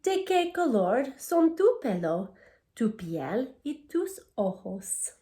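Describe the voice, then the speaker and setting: Slow, high-voiced woman teaching young children. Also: very animated, warm tone. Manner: encouraging, simple and deliberate, addressing young children with questions. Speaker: elementary school language teacher. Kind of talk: children's read-aloud lesson.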